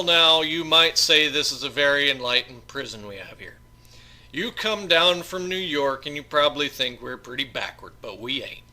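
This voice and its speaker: A man's voice: medium pitch, pushed and bright. A man voicing a cynical prison guard who drawls folksy, mock-reasonable threats in an audiobook. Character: menacing male Southern prison guard, drawling, cynical, bored.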